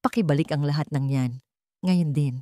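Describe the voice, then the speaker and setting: A woman's voice: sits low, moderate pace, dark tone, dry recording, studio quality, lightly acted. A woman voicing a proud, cold young single mother in a dramatic love story, stern and defiant. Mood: angry, defiant.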